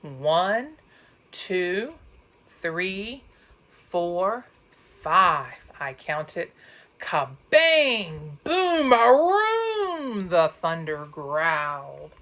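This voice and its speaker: A woman's voice: lowish voice, big pitch swings, fairly bright tone. A woman reading a children's story aloud expressively, like a teacher, with dramatic emphasis. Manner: dramatic, drawn-out emphasis on sound words and counting.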